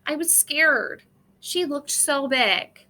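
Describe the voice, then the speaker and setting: A woman's voice: high-pitched, animated, slow, bright, forceful tone, pitched above the performer's own voice, heavily acted. A woman voicing a timid, easily scared little child on a grandmother's farm, anxious and emphatic, in a storybook.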